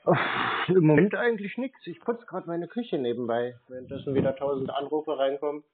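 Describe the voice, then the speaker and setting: A man's voice: fairly high pitch, a little fast, slightly rough. A man chatting casually in a laid-back way, as someone taking calls and agreeing along. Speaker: scam-baiting YouTuber taking calls. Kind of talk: casual phone chat. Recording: tinny, phone-like sound.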